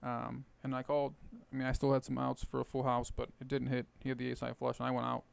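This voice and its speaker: A man with a rough, hoarse voice casually explaining his poker plays while thinking aloud. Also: mid-range voice, monotone, fast talker. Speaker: poker video host. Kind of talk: casual poker commentary over gameplay.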